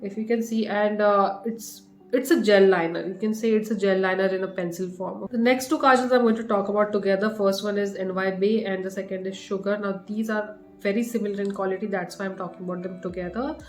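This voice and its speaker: A woman's voice: medium pitch, fairly even intonation, fairly quick, few pauses. A young woman with an Indian English accent, her voice a little groggy from a cough, chatting casually through a makeup review with plenty of fillers and restarts. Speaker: beauty vlogger. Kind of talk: casual product review to camera. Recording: echoey room with faint music.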